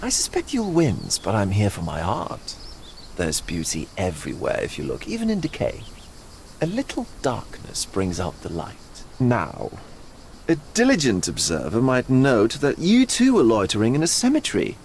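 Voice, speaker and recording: A man's voice: fairly low pitch, wildly swooping pitch, moderate pace, vocal fry, darkish tone, heavily acted. A genteel, theatrical male art dealer for a game cutscene. His florid, teasing phrases rise and fall dramatically, with a sly, probing undertone. Faint ambient noise sits behind the voice. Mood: musing, probing. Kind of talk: video game cutscene acting.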